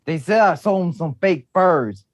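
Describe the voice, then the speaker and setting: A man's voice: sits high, lively intonation, normal speed, pitched above the performer's own voice. A man voicing a brash, cocky character in a comic screenplay, blustery and slangy. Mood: brash nonchalance.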